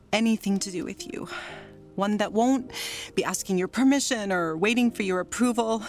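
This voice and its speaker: An actress playing an emotionally volatile, lonely, newly sober wife in a theatrical audio drama monologue. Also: female voice, on the low side. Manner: theatrical, swinging between outbursts and confiding murmurs.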